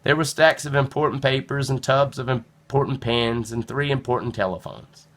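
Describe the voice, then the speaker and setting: Guy reading a kids book out loud, super expressive, bright voice, bit gravelly in spots. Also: medium pitch, moderate pace. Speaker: storybook narrator. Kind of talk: expressive children's read-aloud narration.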